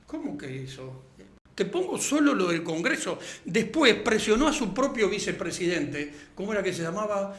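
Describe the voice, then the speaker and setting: A Uruguayan man with a distinctly high voice gives his political opinions as a guest in a discussion. He speaks emphatically and punctuates his points with little tag questions, in a roomy, echoing space. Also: very animated, moderate pace, a bit bright. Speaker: interview guest, political activist.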